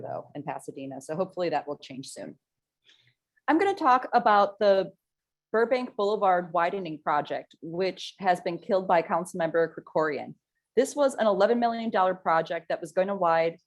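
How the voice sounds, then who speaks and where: A woman from an advocacy group giving upbeat news at a casual online meeting. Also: fairly low pitch.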